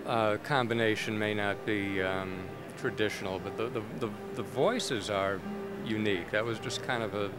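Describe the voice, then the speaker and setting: An older man hosting a gospel music show, asking questions in a halting way with many fillers, on a handheld mic at a convention. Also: on the low side. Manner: hesitant, with repeated filler words. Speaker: host. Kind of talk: interviewer. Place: convention hall interview booth. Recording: noisy convention hall.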